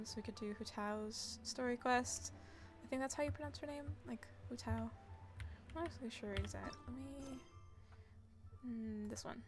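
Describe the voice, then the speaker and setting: A woman streaming a video game, casually rambling and thinking aloud as she plays. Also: fairly high pitch. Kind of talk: casual chatty gameplay commentary.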